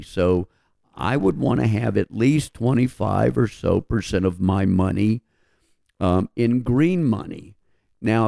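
Male financial expert, unhurried and folksy explainer, creaky delivery, talk-show setting. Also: on the low side, a little mellow, dry recording, clean studio sound.

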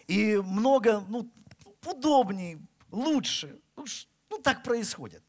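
A man's voice: sits high, very animated, slow pace. A middle-aged man from Russia preaching a sermon, with emphatic delivery that rises and falls. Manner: emphatic, rising and falling delivery. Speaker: pastor.

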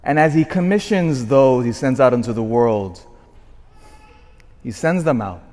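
A man in his forties, a parish priest giving a sermon, swinging from shouted emphasis to quiet asides. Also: mid-range voice, expressive, unhurried. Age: 40s. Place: church. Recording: long church reverb.

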